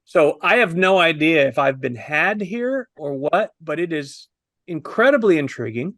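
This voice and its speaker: A man's voice: on the high side, lively intonation, unhurried, a bit bright, no room sound, clean studio sound. A man in his fifties casually discussing a film with admiring enthusiasm, as a review panelist on a video call.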